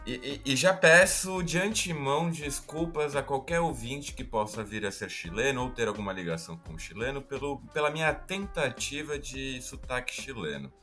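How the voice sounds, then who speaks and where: A man's voice: mid-range voice, expressive, normal speed, few pauses, bright, forceful tone. A Brazilian man with a mid-range voice walks listeners through history in an easy, conversational podcast style. He talks in a chatty, explanatory way and drops in self-mocking asides. A faint guitar plays behind him. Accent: Brazilian Portuguese. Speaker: podcast host.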